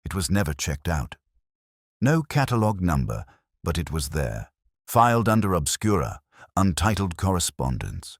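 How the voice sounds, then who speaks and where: A man voicing a quiet, reserved gentlewoman in an audiobook, restrained in manner. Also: low-pitched, sing-song, moderate pace, no room sound, clean studio sound, heavily acted. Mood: quiet, earnest. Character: quiet, reserved young gentlewoman bearing old secrets. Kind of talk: audiobook character reading.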